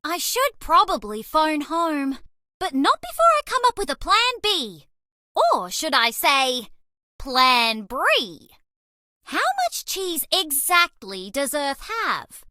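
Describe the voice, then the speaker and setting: A woman's voice: high voice, sing-song, slow pace, bright, forceful tone, no room sound, studio quality, full character voice. A woman voicing a bubbly, cheese-obsessed young alien rodent space traveler in a video game, with a perky, theatrical delivery. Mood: cheerful, playful. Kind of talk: animated game character voice lines.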